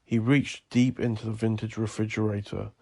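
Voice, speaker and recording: A man narrating dark horror fiction in a deliberate, literary storytelling manner. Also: lowish voice, level tone, slightly rough.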